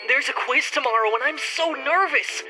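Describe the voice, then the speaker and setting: Woman as nervous naive schoolchild, high pitch, old radio commercial style, music behind. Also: moderate pace, close to an everyday voice, low-quality recording. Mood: nervous, earnest. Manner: earnest, naive. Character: nervous, gullible schoolchild in an advert. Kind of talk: old-time radio advert acting.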